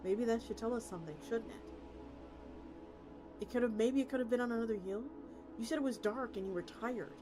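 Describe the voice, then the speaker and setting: A woman's voice: a little high, moderate pace, long pauses, lightly acted. A woman voicing a practical, sympathetic woman from a search team in a story, reasoning tactfully. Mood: sympathetic, doubtful. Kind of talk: character reading of a story. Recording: loud music behind the voice.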